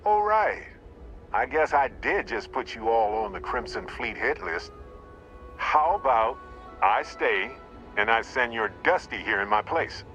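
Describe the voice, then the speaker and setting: A man's voice: medium pitch, sing-song, unhurried, pushed and bright, faint music behind, poor audio. An actor playing a genial, talkative male space explorer in a video game, with a jaunty, wry, easygoing manner. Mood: pleading. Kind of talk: video game cutscene acting.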